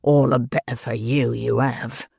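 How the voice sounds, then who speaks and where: A man's voice: mid-range voice, level tone, unhurried, darkish tone, no room sound, clean studio sound, full character voice. A man playing an ill, bad-tempered housebreaker who growls and snaps his lines curtly. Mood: growling. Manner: gruff, growling, curt. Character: sick, brutal middle-aged male housebreaker, savage temper.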